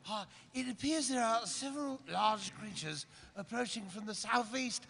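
A man's voice: very high-pitched, normal speed, vocal fry, heavy background noise. A man voicing a fussy, slurring robot butler in a comic live table read. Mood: worried. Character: tipsy, fussy English comic-actor style robot butler. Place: convention panel stage with audience.

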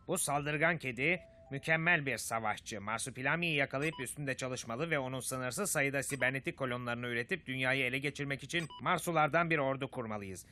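A man's voice: mid-range voice, close to an everyday voice. A man voicing a pompous, bullying colonel in a dubbed cartoon, speaking in a commanding, boastful, theatrical way. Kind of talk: Turkish cartoon dub acting.

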